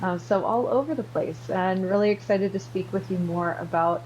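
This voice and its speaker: A woman's voice: medium pitch, moderate pace, darkish tone, highs cut off, slight background noise. An American woman from Virginia, speaking conversationally as an interview guest. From Virginia, United States. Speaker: interview guest, company co-founder.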